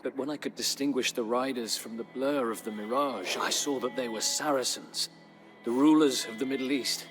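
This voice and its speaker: An actor playing a weary captive Norman knight who chronicles his captivity in solemn, measured storybook narration for a strategy game. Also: mid-range voice, lightly acted. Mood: grave, weary. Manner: solemn, measured, literary delivery with dramatic pauses. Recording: studio narration over clear background music.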